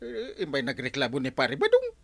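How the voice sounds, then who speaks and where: A man with an Ilocano accent playing an excitable, vain, comic village man in a Filipino radio comedy drama. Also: medium pitch, a little fast, gravelly, no room sound, heavily acted. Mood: complaining.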